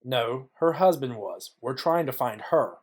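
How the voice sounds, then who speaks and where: A man's voice: medium pitch, expressive, unhurried. A man playing a wry, businesslike private detective narrating and questioning in a noir audio play. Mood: businesslike probing.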